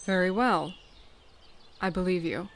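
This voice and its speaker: A woman voicing a measured, commanding female cat who leads her clan in an audiobook fan dub. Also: on the low side, lightly acted. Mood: calm, approving. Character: authoritative mature female cat clan leader, measured and stern.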